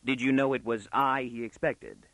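A man's voice: medium pitch, moderate pace, a bit bright, dry recording, natural voice, poor audio. A man voicing a wry young male intruder in a storybook, gritty and sardonic with mocking courtesy. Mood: gruff, sardonic.

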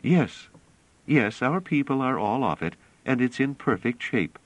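A man voicing a calm young woman who explains patiently and earnestly. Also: mid-range voice, measured pace, no echo, pitched above the performer's own voice. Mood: light, cheerful.

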